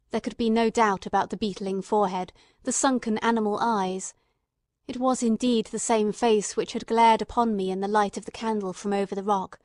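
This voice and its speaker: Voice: medium pitch, darkish tone, no echo, clean studio sound, lightly acted. A performer playing an earnest, emotional male companion who narrates a radio mystery in a literary, fervent manner. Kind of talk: dramatic scripted narration, old-time radio mystery.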